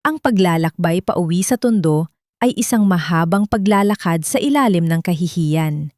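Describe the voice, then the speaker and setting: A woman's voice: lowish voice, lively intonation, moderate pace, darkish tone, dry recording. Woman narrating a drama, very dry sound. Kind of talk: dramatic scripted audio story narration.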